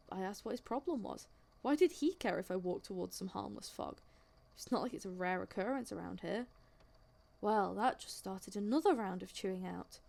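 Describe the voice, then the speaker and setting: A woman's voice: mid-range voice, creaky, a little mellow. A woman playing an indignant, sarcastic young witness in an audio drama, speaking in a colloquial, exasperated way. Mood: indignant recounting. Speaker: voice actor in a fan audio drama. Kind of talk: audio drama acting, conversational monologue.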